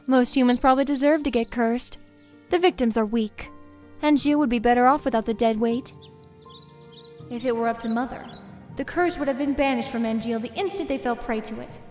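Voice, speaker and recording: A haughty young crown princess played by a woman narrates in a fairly high voice. Her delivery is formal and disdainful, in a visual novel style, over faint music. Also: a little mellow, lightly acted. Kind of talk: scripted first-person visual novel narration.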